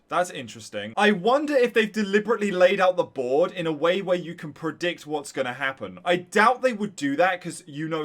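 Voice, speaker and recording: A male streamer with a fairly high, very lively voice that swings up and down. He reacts casually to a game, thinking aloud and talking to his viewers in an excitable way.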